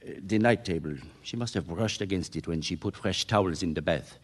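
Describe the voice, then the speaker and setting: A man's voice: low-pitched, a little fast. An actor playing a precise, earnest Frenchman in a radio mystery, with a French accent and careful, hesitant English. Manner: formal, careful wording, hesitant searching for English words. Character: tidy, precise Frenchman, former soldier, earnest and puzzled. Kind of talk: radio mystery drama acting.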